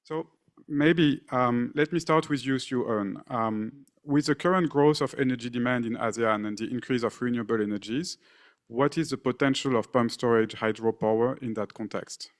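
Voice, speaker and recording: A man's voice: mid-range voice, moderate pace. A man moderating a conference panel, reading out speaker introductions with frequent fillers and restarts. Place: conference stage.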